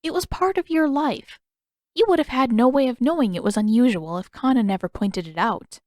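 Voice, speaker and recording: A woman voicing a kindly, playful and gently curious elderly woman in a story reading. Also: a little high, brisk, no echo, clean studio sound, natural voice. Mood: reassuring. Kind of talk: audiobook character dialogue.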